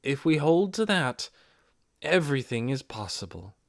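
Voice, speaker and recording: A man voicing a steady, businesslike woman who leads her village, in measured, solemn tones for an audiobook. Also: medium pitch, gravelly, pitched above the performer's own voice. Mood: resolute. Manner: measured, solemn public speaking. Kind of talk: audiobook character voice.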